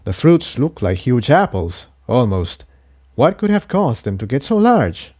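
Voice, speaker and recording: A man's voice: mid-range voice, big pitch swings, a little slow, darkish tone. A man voicing a practical, protective young male pony adventurer in a storybook reading, plain and advising. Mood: thoughtful.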